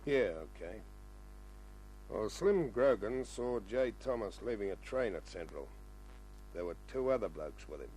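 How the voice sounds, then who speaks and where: An Australian man acting a gruff, world-weary police sergeant, terse and dry, in a 1950s radio crime drama. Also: lowish voice, animated, unhurried, a little mellow, soft background music. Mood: grave. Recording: noisy old radio recording with hum.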